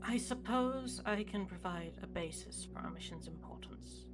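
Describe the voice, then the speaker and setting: A woman playing a dutiful, formal starship prefect with a measured, official manner in a sci-fi audio drama. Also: medium pitch, music in the background, close to an everyday voice. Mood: composed, formal.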